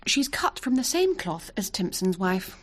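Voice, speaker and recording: Radio drama: assertive English wife, middle register, articulate and brisk, no nonsense. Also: female voice, medium pitch, sing-song, a little hiss or hum. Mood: confident. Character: barrister's sharp, assertive wife, ambitious to qualify herself. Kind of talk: British radio drama acting.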